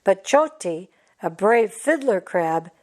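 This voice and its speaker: Woman reading a storybook for little kids, takes it real slow, medium pitch. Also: animated, darkish tone. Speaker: storybook reader. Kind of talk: scripted children's story narration.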